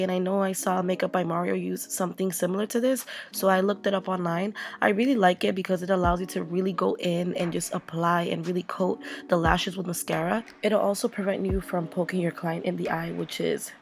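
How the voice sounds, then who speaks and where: A woman's voice: lowish voice, creaky. A young woman with a voice worn down by a cold, chatting her way through a makeup tutorial as a voice-over. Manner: chatty, playful, narrating each step. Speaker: makeup artist. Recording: voice-over with loud background music. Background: music.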